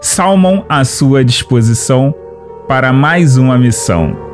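A man's voice: mid-range voice, animated, unhurried, over music, natural voice. A man playing a humble, courteous young warrior in a fantasy audio drama, talking in a modest, easygoing way. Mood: amused, courteous.

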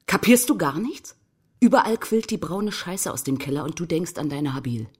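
Scornful young political activist for radio drama; slightly low register, curt and accusing delivery. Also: female voice, very animated, moderate pace, breathy edge, exaggerated voice. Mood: defiant, scornful. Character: combative young left-wing activist woman, defiant and scornful. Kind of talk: German crime radio play dialogue.

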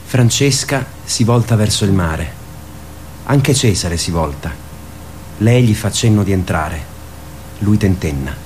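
A man narrating a radio drama as a sober storyteller, describing the action in measured, short phrases. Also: fairly low pitch, lively intonation, darkish tone, slight background noise.

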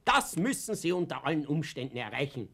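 Excitable old Austrian father, swooping voice. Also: on the high side, a little fast, full character voice. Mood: gleeful storytelling. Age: older. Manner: theatrical, chuckling, swinging between confiding and exulting. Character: excitable, triumphant old father, proudly cunning and eccentric. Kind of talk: radio play acting, animated storytelling.

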